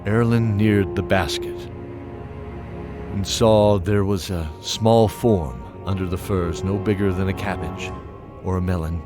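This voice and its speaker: A man giving dramatic scripted narration of a fantasy tale, measured, pausing between short phrases. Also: deep voice, steady tone. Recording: loud music under the voice.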